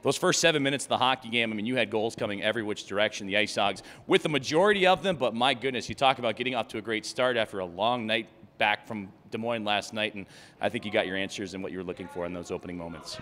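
A man's voice: fairly low pitch, quick pace, a bit bright. A man interviewing a coach after a hockey game, asking long, upbeat questions packed with stats and player names. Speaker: team broadcaster interviewer. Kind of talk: sports postgame interview.

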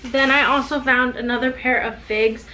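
A chatty young woman talks upbeat to a desk camera in a small home room. Her voice sits a little high, and the room gives it a noticeable echo. A faint hiss runs underneath. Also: fairly even intonation, normal speed, pushed and bright. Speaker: reseller vlogger. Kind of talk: casual haul vlog. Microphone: camera on desk at close range.

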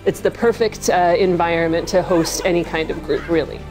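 A woman's voice: on the low side, fairly even intonation, a little fast, poor audio. A woman giving a promotional testimonial as a guest of a retreat. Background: prominent music.

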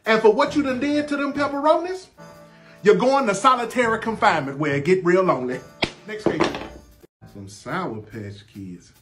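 A young man hosting a comedy skit as a judge, muttering low commentary and then loudly rapping rhyming sentences. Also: animated, moderate pace, over music. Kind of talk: comedic food review skit with rhymed verdicts. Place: small room dressed as a courtroom, indoors.

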